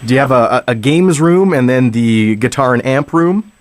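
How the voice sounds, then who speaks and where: A man's voice: mid-range voice, normal speed. A man hosting a radio interview, asking questions in a conversational way. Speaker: radio host.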